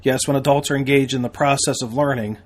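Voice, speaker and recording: Male, American, mid-pitched. Podcast host and instructor selling training in a folksy, emphatic monologue. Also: fairly even intonation, quick pace. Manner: emphatic, folksy sales delivery with repetition and rhetorical questions.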